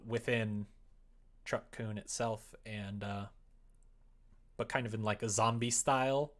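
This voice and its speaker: Rambling, hesitant man chatting on livestream. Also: fairly low pitch. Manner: rambling, thinking aloud, hesitant. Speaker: livestreamer making a visual novel. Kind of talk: casual livestream chat while working. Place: indoors at home.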